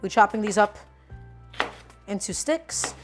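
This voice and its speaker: A woman's voice: mid-range voice, animated, unhurried, over music. A woman in her twenties hosting a casual cooking livestream, teasing her viewers and explaining each step while she chops. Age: 20s. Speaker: cooking streamer.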